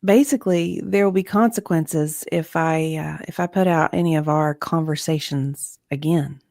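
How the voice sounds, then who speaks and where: A woman's voice: low-pitched, normal speed, gravelly, darkish tone, dry recording, studio quality, voice pushed down. Woman reading as uneasy witness in a deposition. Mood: explaining, uneasy. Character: aggrieved, intimidated journalist testifying as witness. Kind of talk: dramatic reading of a legal deposition transcript.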